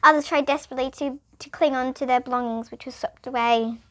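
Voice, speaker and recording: A female reader, reading a children's picture book aloud in an expressive, emphatic way. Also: sits high, quick pace.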